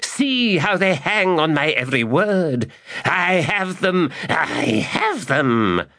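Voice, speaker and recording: A male narrator voicing a scheming, lecherous mage who mutters his plots aloud, high and excitable, in an audiobook. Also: takes it slowly, heavily acted. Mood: gleeful. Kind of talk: audiobook character voice.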